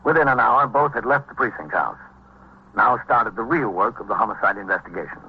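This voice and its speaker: An actor playing a calm American police lieutenant in a vintage radio procedural, clipped and matter-of-fact. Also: male voice, medium pitch, brisk, breathy edge. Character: calm, dutiful male police lieutenant, also narrating. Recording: dull, noisy vintage radio recording.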